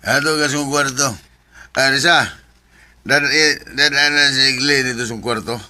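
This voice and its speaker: A man's voice: medium pitch, steady tone, unhurried, natural voice. A man playing a protective, hot-tempered young older brother in a radio drama, blunt and emphatic. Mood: bossy, casual. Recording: very noisy radio drama track.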